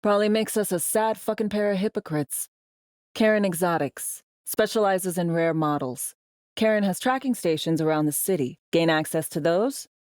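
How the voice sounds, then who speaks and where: A woman acting a brisk, sardonic, foul-mouthed veteran secret agent in video game dialogue, with dry, clipped, conversational phrasing. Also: lowish voice, darkish tone, no room sound, natural voice. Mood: reflective, frank, then brisk, confident.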